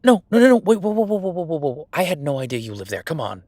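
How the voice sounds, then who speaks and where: A man's voice: a little high, very animated, racing, a little mellow, dry recording. Man playing casual self-made CEO, dry close recording. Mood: flustered, awkward. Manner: casual, rambling, stammering, self-deprecating. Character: friendly self-made male CEO, flustered, awkward old college friend. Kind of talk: intimate one-sided roleplay audio drama, conversational.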